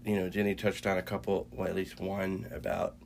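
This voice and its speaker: A man with a congested, slightly raspy voice that sits a little low. He talks haltingly, circling back with fillers and restarts. A faint hum of noise runs behind him. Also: little pitch movement, a little mellow. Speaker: podcast co-host. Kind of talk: casual faith chat.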